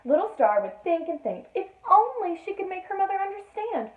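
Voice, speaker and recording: A woman reading a children's story aloud in an expressive teacherly style, with playful asides to her listeners. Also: very high-pitched, brisk. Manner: addresses listeners with playful questions, dramatic emphasis. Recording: roomy, echoey, narrowband sound.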